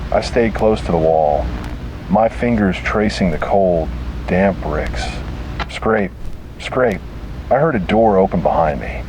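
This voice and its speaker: A man reads a scripted first-person horror story dramatically, in short deliberate phrases. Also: sits low, noisy background.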